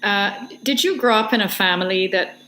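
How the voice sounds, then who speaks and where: A woman's voice: medium pitch, normal speed, bright, forceful tone, a little hiss or hum. A woman hosting an interview, asking questions with hesitations and fillers. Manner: hesitant, searching for words. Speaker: interviewer and project host.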